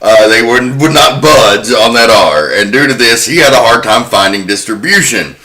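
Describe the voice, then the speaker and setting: A man's voice: medium pitch, animated, moderate pace, bright, forceful tone. A man hosting a podcast, giving prepared commentary on film history. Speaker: podcast host.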